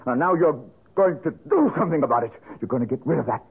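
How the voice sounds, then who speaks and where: An actor playing a headstrong, indignant young man in a 1970s radio drama, urgent and demanding, with a husky voice. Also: medium pitch, lively intonation, fast talker. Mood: angry, accusing. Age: young adult. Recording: muffled old radio recording.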